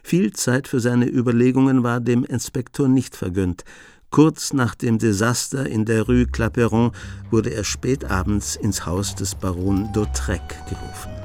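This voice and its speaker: A man narrating a radio play in a measured storytelling manner. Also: medium pitch, fairly even intonation, darkish tone, dry recording. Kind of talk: scripted radio drama narration.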